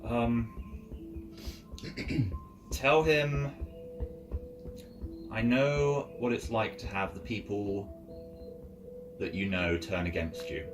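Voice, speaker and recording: A man with a low voice plays a tabletop game, speaking very slowly and casually. He is away from the mic, and loud ambient music plays behind him. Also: steady tone, distant mic.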